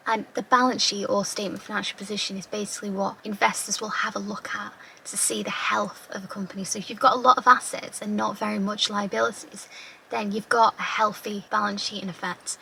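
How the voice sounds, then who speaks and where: Slightly croaky woman explaining accounting. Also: medium pitch, bright, forceful tone, a little hiss or hum, poor audio. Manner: plain, patient, everyday examples with fillers. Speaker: finance tutor. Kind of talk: explaining accounting terms to camera.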